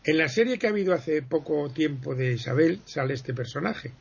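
Guy rambling thru some history on the radio, gravelly husky voice, keeps stopping to fix himself, muffled lo-fi sound. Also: fairly high pitch, animated. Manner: digressive, explanatory, many pauses and self-corrections. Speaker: history guest speaker. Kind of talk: conversational radio interview.

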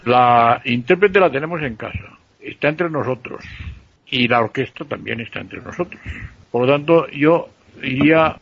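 A man from central Spain with a Spanish accent, co-hosting a radio show in casual chat, playful and teasing, with a gravelly, husky voice. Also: mid-range voice, lively intonation, normal speed, a little hiss or hum. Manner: playful, teasing, explains at length. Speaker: radio co-host. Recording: dull lo-fi radio sound.

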